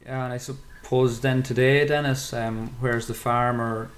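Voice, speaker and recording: Teenage male host, flat delivery, hedging questions. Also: medium pitch, a little slow. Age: teens. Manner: hedging questions with many fillers. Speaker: podcast host. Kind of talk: interviewer.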